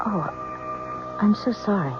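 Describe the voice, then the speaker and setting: Actress as an earnest, halting, desperate wife. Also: female voice, medium pitch, natural voice. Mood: hesitant. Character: desperate, hesitant married woman longing for a child. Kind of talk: 1970s radio drama acting. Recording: dull lo-fi radio drama, music behind.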